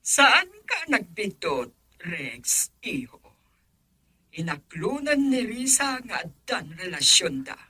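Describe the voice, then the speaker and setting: A stern middle-aged mother in a radio drama. Her voice is sharp and rough, and she swings dramatically through her range with proud disapproval. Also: mid-range voice, very animated, slow, heavily acted. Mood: scornful. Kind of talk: Ilocano radio drama acting.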